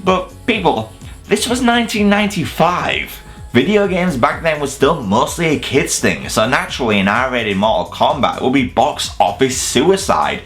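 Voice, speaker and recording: A man's voice: mid-range voice, big pitch swings, normal speed, a bit bright, soft background music. A man presenting an opinionated scripted video review in a blunt, wry, sweary way. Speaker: video reviewer presenting a top ten list.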